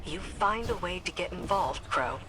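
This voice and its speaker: A woman's voice: lowish voice, lively intonation, normal speed, noisy background, close to an everyday voice. An actress playing a wary, needling female soldier in a video game, dry and sarcastic. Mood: sardonic, irritated. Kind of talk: scripted video game dialogue.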